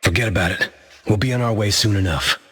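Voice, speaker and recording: A man's voice: on the low side, fast talker, fairly bright tone, long reverb tail, faint music behind, close to an everyday voice. A man playing a sardonic soldier with a metal arm. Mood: dry. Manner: dry, sardonic quips. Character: wry battle-worn male soldier with a metal arm. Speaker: video game hero.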